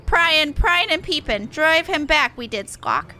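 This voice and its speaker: Shrill, squawking bird-creature. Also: female voice, lively intonation, a little slow, sharp tone, a little hiss or hum, exaggerated voice. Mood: proud, fierce. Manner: inverted word order, punctuated with squawks. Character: excitable squawking bird-beastman with inverted speech. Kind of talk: game dialogue read aloud in character.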